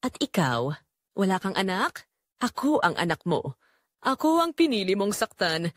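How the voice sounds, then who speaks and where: A woman's voice: mid-range voice, sing-song, unhurried, no echo, studio quality. A woman with a Filipino accent voicing a betrayed, heartbroken wife confronting her family in an audio drama. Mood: accusing, angry.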